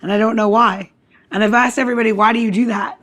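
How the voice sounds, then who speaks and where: A woman's voice: on the high side, steady tone, quick pace, a bit bright. A woman co-hosting a podcast in casual chat, talking in a very informal, chatty and emphatic way. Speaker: podcast co-host.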